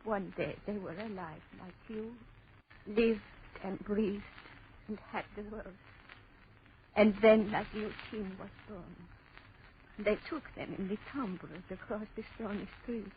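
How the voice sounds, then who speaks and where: Woman acting a slow, sinister old crone. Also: mid-range voice, steady tone, lightly acted. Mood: ominous, dramatic. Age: older. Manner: slow, incantatory, dramatic repetition. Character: sinister old French woman, ominous teller of ghostly doom. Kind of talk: 1940s radio horror acting. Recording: muffled, noisy old radio recording.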